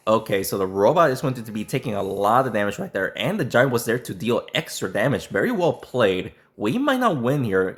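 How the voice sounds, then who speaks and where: A man with a hoarse, strained voice, sick and close to losing it. His pitch sits in the middle range. He gives casual commentary on his own game, clears his throat, and often restarts halfway through a sentence. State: sick, voice strained and nearly lost. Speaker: gaming YouTuber.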